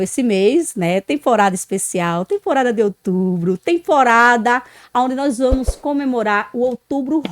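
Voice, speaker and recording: A woman podcast host, talking expressively with frequent tag questions. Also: a little high. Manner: expressive, frequent "né", loud greetings. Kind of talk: warm podcast interview.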